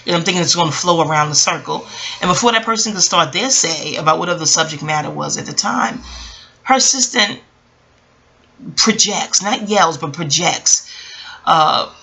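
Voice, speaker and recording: A woman's voice: fairly low pitch, normal speed, gravelly. A woman in her early forties telling a personal story in a casual, reflective, rambling way, often digressing and acting out what people said. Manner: rambling, digressive, animated retelling with acted-out quotes. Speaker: vlogger.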